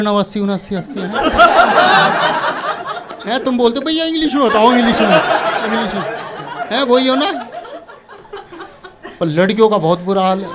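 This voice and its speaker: A man's voice: high-pitched, background noise. A man from Uttar Pradesh performing a stand-up comedy set, telling stories and acting out dialogue to a laughing audience. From Uttar Pradesh, India. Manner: animated storytelling, acting out dialogue.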